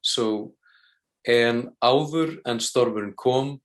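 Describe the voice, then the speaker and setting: A man with an Icelandic accent on a video call, politely reading phrases slowly. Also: medium pitch. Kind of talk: casual video call chat.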